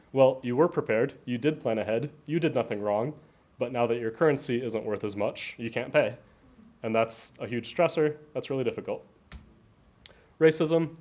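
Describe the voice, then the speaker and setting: A man's voice: medium pitch, darkish tone. A man giving an informal presentation to an audience, explaining things in a conversational way as presenter and moderator.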